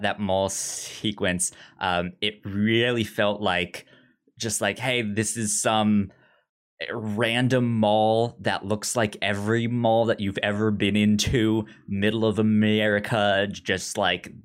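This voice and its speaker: A man co-hosting a film podcast in casual conversation, halting and often stammering over the starts of words. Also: on the low side. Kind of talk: casual movie discussion.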